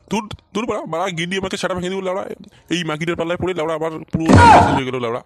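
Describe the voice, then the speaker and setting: Man voicing a fast, whiny old cartoon husband. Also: sits high, no echo, studio quality, close to an everyday voice. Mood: irritated, grumbling. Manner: stammering, foul-mouthed, repeats himself. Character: henpecked old philandering husband, whiny, cowardly and grumbling. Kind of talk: amateur comic cartoon dubbing.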